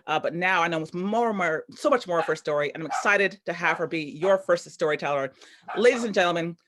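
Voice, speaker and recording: A woman's voice: on the low side, animated, a little fast, background noise. A woman hosting a live online storytelling event over a video call, upbeat and chatty with loose, rambling phrasing. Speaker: host.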